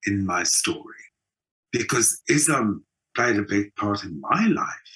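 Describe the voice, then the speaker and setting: A man with a South African accent and a fairly low, husky voice talks in a reflective interview. He sounds earnest and opinionated, and tends to act out the exchanges he quotes. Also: animated, takes it slowly, sharp tone, poor audio. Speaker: writer and documentary maker being interviewed.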